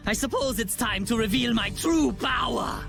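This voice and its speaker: An actor playing a flamboyant, gleeful villain in a video game, with grandiose, mocking theatrical delivery. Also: male voice, very high-pitched, expressive, normal speed, gravelly, full character voice. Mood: grandiose menace. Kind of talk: dramatic video game voice acting. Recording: loud music under the dialogue. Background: game music.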